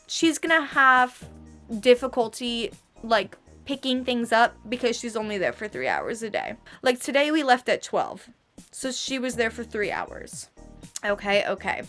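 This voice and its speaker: A young woman of twenty-one talks fast and exasperated in a casual rant, her voice sitting a little high. A slight lisp softens her s sounds. Faint music plays underneath. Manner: animated, exasperated, slangy, peppered with "okay?". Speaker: vlogger and barista trainer. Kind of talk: casual ranting vlog.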